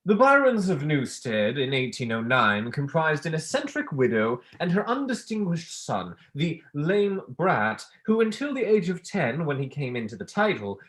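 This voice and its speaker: A student actor playing a pompous, easily wounded academic, declaiming in a staged play reading. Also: male voice, medium pitch, fairly bright tone. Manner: declamatory, oratorical.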